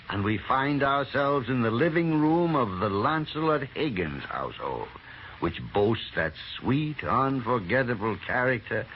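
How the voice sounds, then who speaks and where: An American man announcing acts as master of ceremonies in a 1940s radio style. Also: mid-range voice. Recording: muffled, faintly noisy 1940s radio broadcast.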